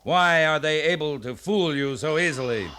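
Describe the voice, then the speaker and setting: An actor playing a stern, shrewd US cavalry captain with a commanding, measured manner, in a 1950s radio western. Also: male voice, on the high side, sharp tone, a little hiss or hum. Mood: scornful, pressing. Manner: commanding, measured, pointed questioning.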